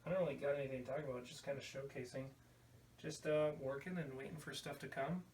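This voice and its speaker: A man's voice: medium pitch, steady tone, fast, darkish tone. A man hosting a casual video podcast, rambling through a show-and-tell with an irreverent, crude sense of humor. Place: indoor room at home. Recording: roomy, distant mic, faint background noise.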